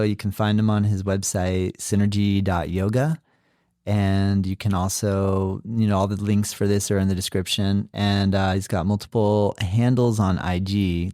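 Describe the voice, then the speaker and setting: A man with a fairly low voice hosts a podcast, gushing with enthusiasm and peppering his talk with filler words. His voice sits dry and close, with no room around it. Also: flat delivery, normal speed, dark tone, dry recording. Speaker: podcast host. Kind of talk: podcast interview.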